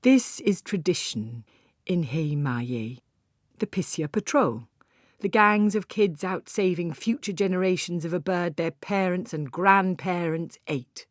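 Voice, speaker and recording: A woman's voice: fairly low pitch, lively intonation, unhurried, no room sound, clean studio sound. A woman narrating a literary first-person story as a scripted audiobook reading.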